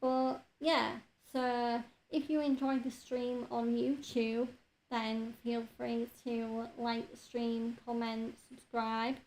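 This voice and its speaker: Slow-talking croaky woman streamer. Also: fairly high pitch, a little hiss or hum, rough recording. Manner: relaxed, chatty, with frequent fillers. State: slightly croaky from a cold. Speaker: game streamer. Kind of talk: casual let's-play commentary.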